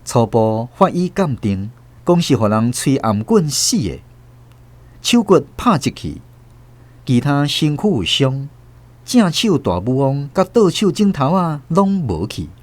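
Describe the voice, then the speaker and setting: A man's voice: mid-range voice, big pitch swings, a little slow. A man with a Taiwanese accent narrating a radio drama in a dramatic, scripted storytelling style.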